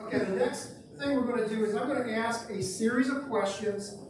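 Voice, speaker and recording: A man moderating a candidate forum in a casual, halting way, asking the room for a show of hands. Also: very high voice. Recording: echoey hall, distant mic, faint noise.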